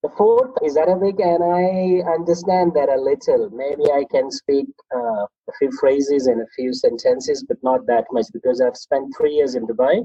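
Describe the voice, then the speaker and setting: Pakistani man, dark muffled voice. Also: mid-range voice, fairly quick, few pauses, breathy edge, dry recording. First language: Pashto. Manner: animated, reflective, often laughs at himself. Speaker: guest, English teacher. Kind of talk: conversational interview.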